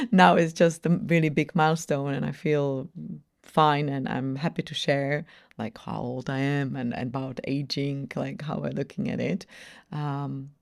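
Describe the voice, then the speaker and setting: A woman's voice: deep voice, vocal fry. A woman of forty-nine chatting casually as an interview guest, speaking with emphasis and repeating phrases to affirm her points. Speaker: podcast guest, personal brand strategist.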